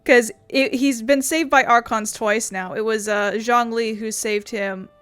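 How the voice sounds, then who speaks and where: A woman's voice: fairly high pitch, fairly even intonation, a little slow, a bit bright, clean tone, no echo. A woman gaming streamer giving casual commentary as she plays. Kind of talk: casual let's-play commentary. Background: game music.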